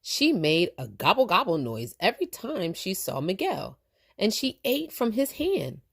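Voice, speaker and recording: A woman reading a children's story aloud as a storytime teacher, expressive and deliberate. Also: mid-range voice, gravelly, breathy edge.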